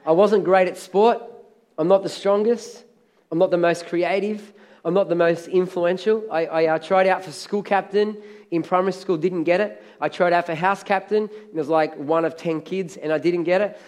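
Hoarse, high-voiced preaching man. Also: moderate pace. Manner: energetic, emphatic preaching with repeated phrases. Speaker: pastor. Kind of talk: sermon.

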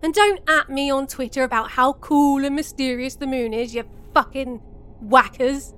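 Actress as jaded female show host, high register, sardonic, exasperated, scripted audio comedy. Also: moderate pace, fairly bright tone. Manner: sardonic, dismissive.

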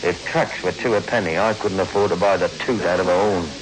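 A man's voice: steady tone, fairly quick, a crowd in the background, close to an everyday voice. An actor playing a chatty, down-on-his-luck lorry driver in a 1960s radio drama, with colloquial working-man speech. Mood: wry, grateful. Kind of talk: 1960s radio drama acting, narrating and in dialogue. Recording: noisy old radio recording, vehicle rumble.

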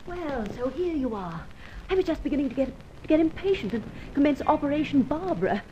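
A woman's voice: high voice, sing-song, a little fast. An actress playing a wry, impatient young lady companion in an old radio drama. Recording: muffled, noisy old radio recording.

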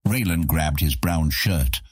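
A man narrating a fantasy audiobook as a scripted story, with a gravelly, husky voice. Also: a bass voice, slow pace, dry recording, studio quality.

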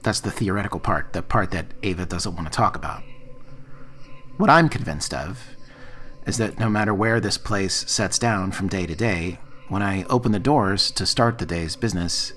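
Earnest low-voiced diner boss. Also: male voice, lively intonation, normal speed, a bit bright, slight background noise. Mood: earnest, somber. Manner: explanatory, hesitant, wry. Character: earnest, exasperated man running a time-traveling diner. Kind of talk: audio fiction dialogue.

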